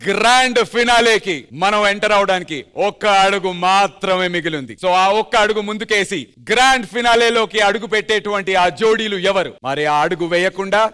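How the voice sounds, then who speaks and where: A man's voice: high-pitched, brisk, pushed and bright, clear, resonant tone. A man hosting a TV dance show, presenting energetically and emphatically, mixing in English phrases. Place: television studio stage.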